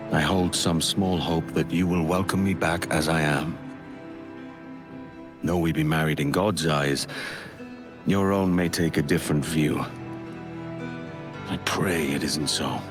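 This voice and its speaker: An actor playing a roguish but tender, homesick pirate captain in a game drama. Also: male voice, rumbling low. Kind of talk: dramatic game acting, letter narration.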